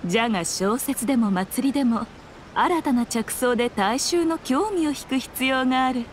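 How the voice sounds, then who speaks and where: Woman as a high-voiced, sly fox shrine maiden. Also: moderate pace, a little hiss or hum, close to an everyday voice. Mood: teasing. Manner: archaic, haughty, playfully self-assured speech. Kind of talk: Japanese anime game voice acting.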